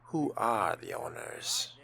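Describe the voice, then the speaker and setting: A man role-playing a cool, courteous vampire fledgling in a video game, speaking in a measured, dry way with some breathiness. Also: medium pitch, wildly swooping pitch, pushed and bright. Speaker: player voicing his vampire character.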